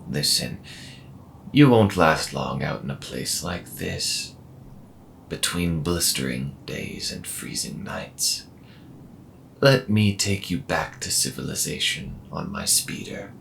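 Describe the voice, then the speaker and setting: A man playing a friendly, calm robot mechanic with dry humour and a measured, gently wry delivery in an audio roleplay. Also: a bass voice, gravelly, slight background noise, exaggerated voice. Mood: calm, reassuring. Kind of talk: slow intimate audio roleplay acting.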